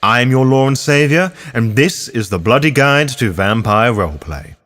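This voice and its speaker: A man's voice: mid-range voice, expressive, moderate pace, a bit bright. A man hosting a scripted guide, narrating in a theatrical, playful way with wry asides. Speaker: host of a roleplaying lore guide series. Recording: music behind the voice. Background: background music.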